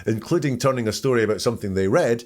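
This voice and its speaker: A man's voice: mid-range voice, fast, darkish tone. A man hosting a podcast, giving informal, wry analytical commentary and reading out quotes to pick them apart. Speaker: podcast host.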